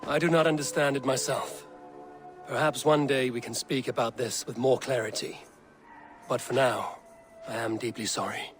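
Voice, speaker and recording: An actor playing a battle-hardened Viking warrior leader in a video game, speaking in a measured, sombre and plain way. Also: male voice, mid-range voice, fairly even intonation, soft background music, lightly acted. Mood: grave, regretful. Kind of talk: video game dialogue acting.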